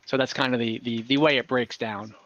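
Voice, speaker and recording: A man working as game master, improvising narration for an online tabletop game in a halting way, searching for words. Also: medium pitch, steady tone, a bit bright, dull audio.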